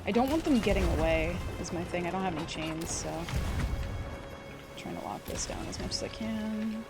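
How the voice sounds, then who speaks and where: A woman livestreaming a game, giving chatty, excitable commentary and swearing freely. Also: lowish voice, moderate pace, over loud music. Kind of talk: casual gameplay commentary.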